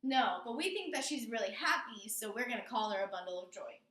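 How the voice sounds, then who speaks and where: A woman teacher explaining a lesson to her pupils, often pausing to check in with questions. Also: a little high, fairly bright tone. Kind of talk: classroom lesson on figurative language. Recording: roomy echo, distant microphone.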